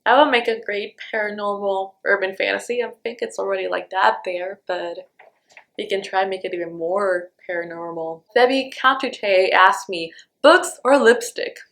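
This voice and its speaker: A woman's voice: medium pitch, steady tone, normal speed, vocal fry, fairly bright tone. Chatty young woman from Honduras. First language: Spanish. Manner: chatty, lots of "like" and "um". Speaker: book vlogger. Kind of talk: casual Q&A vlog.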